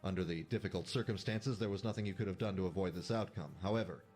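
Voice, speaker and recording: A man playing a stern, demanding squadron commander, giving a formal, measured military briefing in a space game. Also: low voice, darkish tone, faint music behind, close to an everyday voice.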